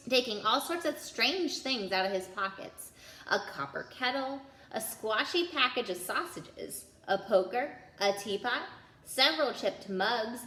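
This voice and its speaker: A woman narrates a children's book for story time. Her voice is bright and very animated, rising and falling expressively. The recording has a noticeable roomy echo. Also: on the high side. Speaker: storytime reader.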